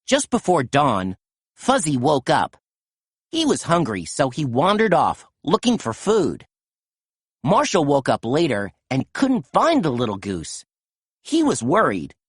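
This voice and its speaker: A woman reading a children's picture book aloud in a gentle, expressive storytelling manner. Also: sits low, measured pace, husky, no echo, studio quality. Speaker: storytime reader.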